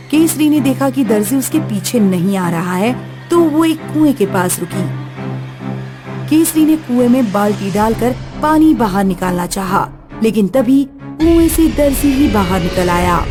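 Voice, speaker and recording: Woman, scripted storytelling narration, higher pitch, light background music.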